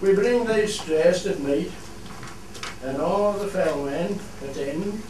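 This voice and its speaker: Radio play part: male actor as Cumbrian fell shepherd, plain and unhurried, very echoey, noisy recording. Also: high voice, darkish tone. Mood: explanatory. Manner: plain, explanatory, measured. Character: plain-spoken Cumbrian fell shepherd explaining local customs. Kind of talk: scripted radio play monologue in dialect. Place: theatre studio. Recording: echoey hall, distant mic, clear noise.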